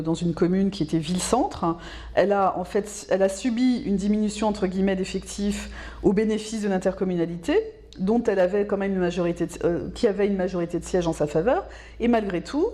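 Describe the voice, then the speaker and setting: Mellow-voiced woman, explaining. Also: mid-range voice. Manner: measured, didactic, emphatic repetition, checks understanding with tags. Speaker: local government specialist being interviewed. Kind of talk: explanatory interview answers.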